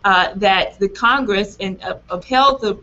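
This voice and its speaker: Slow-talking raspy woman on a webcam. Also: medium pitch, bright, forceful tone. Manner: earnest, emphatic, laughs at own slips. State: raspy from allergies. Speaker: presenter introducing a talk. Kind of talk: political talk introduction, unscripted. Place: small office room, indoors. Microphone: webcam on a video call. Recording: dull lo-fi webcam sound.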